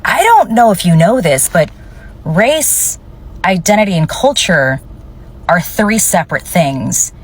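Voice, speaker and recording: A woman's voice: on the low side, big pitch swings, a little slow, husky, low-quality recording. A woman whose first language is Spanish, speaking emphatically in a casual social media clip. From Cuban family, raised in the United States.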